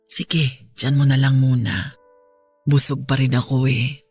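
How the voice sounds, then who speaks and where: An actress playing a weak, gentle, ailing Filipino nanny in a radio drama, weary and resigned. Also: female voice, a bass voice, fairly even intonation, a little slow, husky, no echo, soft background music, full character voice. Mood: weakly grateful.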